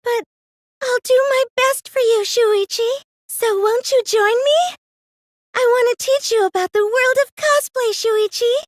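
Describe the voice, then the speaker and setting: A woman voicing a soft-spoken, excitable teenage cosplay fangirl in an anime game, with a hesitant, girlish and theatrically emotive delivery. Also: extremely high pitch, measured pace, no room sound, studio quality. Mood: gentle, hesitant.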